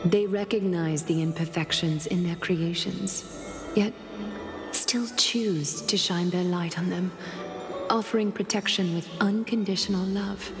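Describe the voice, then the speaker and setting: A composed, regal woman leader from a fantasy game, speaks in solemn, formal phrases. Her lowish voice is tender and measured, ringing with heavy echo over clearly audible music. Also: steady tone. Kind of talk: scripted dramatic game voice acting, monologue. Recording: echoey hall reverb with music behind.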